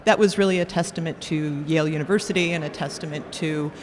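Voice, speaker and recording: A woman, a biotech executive, describing her company in a measured, formal way on a panel. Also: sits low, a little mellow. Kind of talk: panel introduction. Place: conference hall. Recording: long hall reverb, faint room noise.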